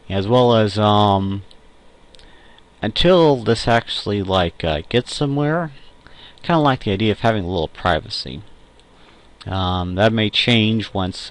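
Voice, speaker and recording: Young adult male, low register, hesitant amateur audio-log host; casual, unscripted, stop-and-start delivery. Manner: hesitant, halting, self-correcting, with frequent pauses. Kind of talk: casual unscripted tech and personal monologue.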